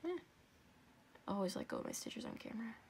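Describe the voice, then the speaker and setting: A woman's voice: mid-range voice, wildly swooping pitch, fast talker, creaky, darkish tone, a little hiss or hum. A young woman with a stuffy, congested nose, chatting her way through a step-by-step crafting tutorial at home, with rambling asides and self-corrections.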